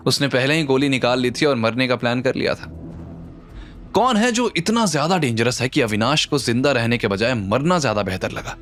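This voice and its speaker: A man's voice: medium pitch, expressive, fairly quick, fairly bright tone. A man narrating a scripted audio drama story in a dramatic style. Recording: music under the voice.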